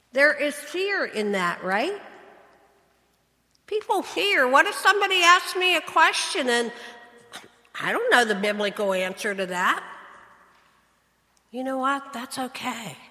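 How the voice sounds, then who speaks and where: A woman delivers a sermon in an unhurried, deliberate way, often pausing to put questions to her congregation. Her voice sits high and bright, and it rings out with the long reverb of a church sanctuary. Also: lively intonation. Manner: earnest, asks the congregation questions. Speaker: pastor. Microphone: church microphone, switching mics.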